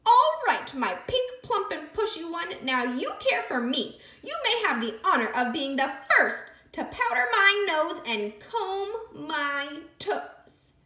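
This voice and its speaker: A woman's voice: very high-pitched, sing-song, slow pace, hardly any pauses, bright, forceful tone, echoey room, pitched above the performer's own voice, full character voice. A woman voicing a bossy little female sand creature in a storybook read-aloud, cackling and theatrical.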